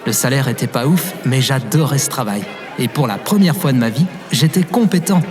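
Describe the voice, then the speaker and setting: A man's voice: medium pitch, lively intonation, brisk, over music, crowd noise, close to an everyday voice. Man playing a devoted, goofy young guy. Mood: wry, nostalgic. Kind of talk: fiction podcast acting with narration.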